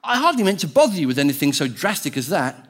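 A man's voice: on the high side, very animated, fast, a bit bright, voice pushed up. A man voicing a fearful, evasive ghost of a tormented man, flustered and whimpering, in a storybook reading. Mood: nervous, evasive. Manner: flustered, polite excuses, whimpering.

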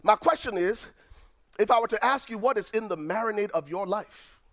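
A middle-aged man with a Liberian accent, preaching a sermon as pastor, swinging from near-shouts down to low, quiet lines. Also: normal speed. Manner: preaching with dramatic swings, rising to shouts then dropping low.

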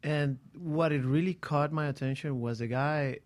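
A man's voice: a little high, normal speed. A male talk show host casually telling an anecdote about his week. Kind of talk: casual chat.

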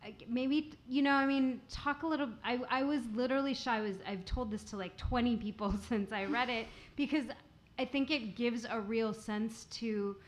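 A woman's voice: on the high side, fairly even intonation, normal speed. A woman interviewing a guest, hesitant and searching for her words. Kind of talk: live interview questions.

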